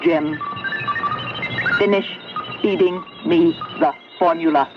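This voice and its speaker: Female computer: deliberate, knowing, insistent. Dull vintage radio drama sound, clear music bed. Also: on the low side, fairly even intonation. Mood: coolly insistent. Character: calm, knowing female computer speaking inside a man's head. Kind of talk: 1970s radio drama acting. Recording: muffled 1970s radio broadcast, music behind.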